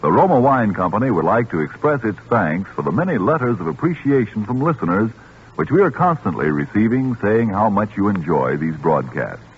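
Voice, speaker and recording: American male announcer, 1940s radio ad, formal polished pitchman, very animated intonation, muffled vintage recording. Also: brisk, a little hiss or hum. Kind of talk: scripted 1940s radio advertisement.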